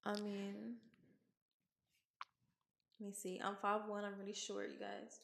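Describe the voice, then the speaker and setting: Gravelly-voiced chatty woman in her twenties. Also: mid-range voice, completely flat delivery, a little slow, fairly bright tone. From Arkansas. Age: 20s. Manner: chatty, repeats herself, laughs along. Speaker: podcast co-host. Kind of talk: casual chat.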